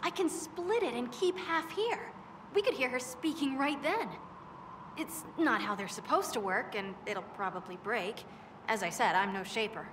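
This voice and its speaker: A woman playing an eager, scatterbrained young dwarf arcanist in a fantasy game, chatty and excitable with halting asides. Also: a little high, lightly acted. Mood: tentative, eager. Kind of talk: fantasy video game acting. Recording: distant hall with clear background noise.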